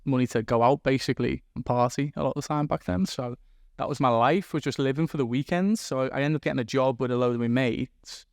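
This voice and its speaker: A man from England with a mild Liverpool accent, talking as a guest about his business in a relaxed, rambling way. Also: mid-range voice, fast talker, gravelly, dry recording, studio quality. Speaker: guest, recruitment business owner. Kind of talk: casual interview chat. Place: room in Dubai.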